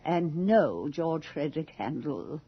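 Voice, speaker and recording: An actress playing a jaded, imperious old aristocratic hostess, haughty and drawling, in a radio drama. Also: female voice, deep voice, lively intonation, unhurried, breathy edge, exaggerated voice. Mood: bored, disdainful. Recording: muffled 1980s radio drama recording.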